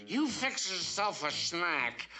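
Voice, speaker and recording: A man's voice: high voice, sing-song, slow pace, full character voice. An actor playing a friendly, tipsy middle-aged husband in a comic cartoon, slurring and stumbling over his words. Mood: jolly, befuddled. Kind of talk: 1960s comic cartoon acting. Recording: old cartoon soundtrack with music behind.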